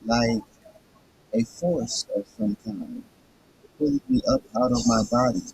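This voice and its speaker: A hoarse man reading the words of a woman patient who is slowly recounting her death. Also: mid-range voice, sing-song, a little mellow, a little hiss or hum, heavily acted, rough recording.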